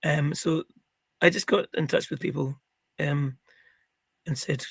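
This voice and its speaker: A middle-aged man with a croaky, gravelly voice, chatting as an interview guest and rambling with many ums and restarts. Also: on the high side, fairly even intonation, a little slow, no echo. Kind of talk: casual interview chat.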